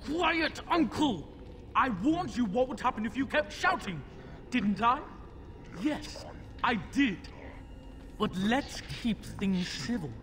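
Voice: medium pitch, expressive, slow, a bit bright, a little hiss or hum. A performer playing a demon-possessed young boy in a fantasy game, haughty, mocking and commanding. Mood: petulant menace.

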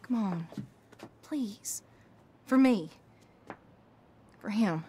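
An actress playing an earnest, halting young frontier wife and mother in a western video game. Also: medium pitch, animated, dark tone, a little hiss or hum. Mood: pleading.

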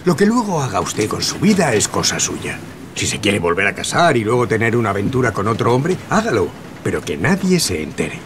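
An actor playing a bossy, patronizing Hollywood studio boss in an audio drama, businesslike and persuasive. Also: male voice, lowish voice, wildly swooping pitch, fairly quick, noisy background. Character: blunt, bossy Hollywood studio mogul man. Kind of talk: scripted audio drama acting.

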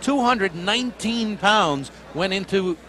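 A man's voice: high voice, expressive, slow. A man giving television boxing commentary. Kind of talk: live TV fight commentary. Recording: noisy arena broadcast, loud crowd. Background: roaring arena crowd.

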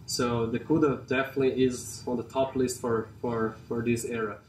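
A man's voice: medium pitch, flat delivery, a little hiss or hum. Brazilian-accented man in an echoey garage. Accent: Brazilian Portuguese. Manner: halting, many restarts and fillers, enthusiastic. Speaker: company CEO and car collector. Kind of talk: casual walkaround interview. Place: large garage showroom, indoors. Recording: roomy and distant in a garage.

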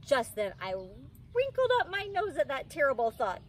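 A woman's voice: high voice, wildly swooping pitch, normal speed. A woman in her thirties, a teacher, reading a children's storybook aloud expressively outdoors. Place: outdoors in a wicker pod chair under trees. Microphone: camera a few feet away. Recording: outdoor ambient noise.

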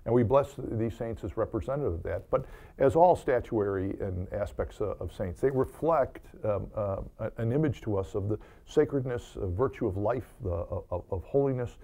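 Older American man, low gravelly voice. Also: mellow. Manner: halting, with repeated words. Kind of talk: informal remarks and blessing at Mass. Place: small chapel, indoors.

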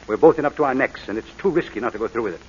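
Fast-talking suave crook played by a man. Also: medium pitch, close to an everyday voice. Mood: pressing, menacing. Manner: suave, formal, calculating. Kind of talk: 1940s radio acting. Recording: noisy muffled vintage 1940s radio recording.

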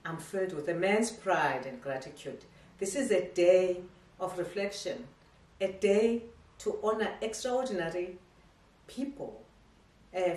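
A middle-aged woman delivers a formal, ceremonial graduation speech in a measured way, with small stumbles as she reads. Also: fairly low pitch, darkish tone. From South Africa. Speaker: academy head giving a graduation speech. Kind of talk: formal prepared speech read aloud. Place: living room at home. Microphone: camera across the room. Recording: echoey room, voice from a distance.

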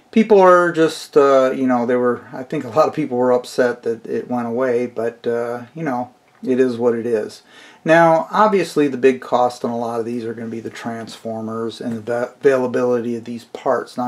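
A man's voice: mid-range voice, darkish tone. A middle-aged man giving an informal, unscripted explanatory review as a hobbyist, in a plain-spoken, conversational way.

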